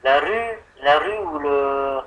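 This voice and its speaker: Bright-voiced man, hesitant, on the phone. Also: on the high side, lively intonation, takes it slowly. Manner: hesitant, rambling, playing confused. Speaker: prank caller posing as an insurance customer. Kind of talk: improvised prank phone call. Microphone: telephone. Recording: tinny telephone line call.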